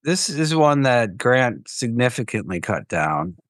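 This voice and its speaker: A man's voice: mid-range voice, sing-song, measured pace, a bit bright, no echo, studio quality. A man co-hosting a podcast in casual chat about a record, musing aloud and trailing off with fillers. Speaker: podcast co-host.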